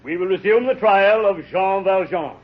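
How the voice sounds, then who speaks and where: An actor playing a formal, authoritative presiding judge in a declamatory 1930s radio drama style. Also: male voice, sits high, normal speed. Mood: stern. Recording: dull, noisy 1930s radio recording.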